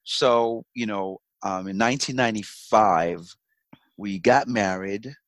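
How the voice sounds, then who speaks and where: A man's voice: lowish voice, measured pace, fairly bright tone, no room sound. A man in his forties from Jamaica, with a slight Jamaican accent, telling his story as an interview guest in a rambling way with many ums and restarts. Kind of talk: casual storytelling.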